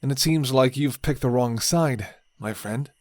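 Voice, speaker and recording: A man's voice: medium pitch, a little slow, darkish tone, dry recording, studio quality, close to an everyday voice. A man voicing a sardonic rebel leader who mocks an old friend and then pleads earnestly. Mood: mocking.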